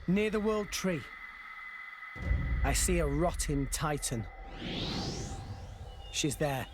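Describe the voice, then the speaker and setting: A man's voice: on the high side, animated, slow pace. A man playing an earnest, determined boy adventurer in a video game, speaking resolutely. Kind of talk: dramatic video game cutscene acting. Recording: music behind. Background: game soundtrack music.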